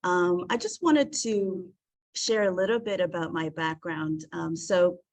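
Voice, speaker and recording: A woman from South Africa talks through a presentation on a video call. Her voice sits on the lower side and the sound is dry and close. She is earnest and warm, pausing often on filler ums. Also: dry recording. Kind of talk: presentation to an online group.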